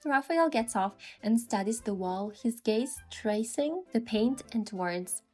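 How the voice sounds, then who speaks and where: A woman's voice: fairly high pitch, expressive, slow, a little mellow, slightly rough. A woman reading scripted first-person story narration, with music behind her voice.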